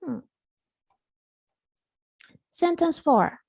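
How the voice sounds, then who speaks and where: High-voiced woman teaching English slowly. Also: expressive, gravelly, darkish tone. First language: Portuguese. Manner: patient, slow drilling, repeats words for the student. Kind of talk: live online English lesson.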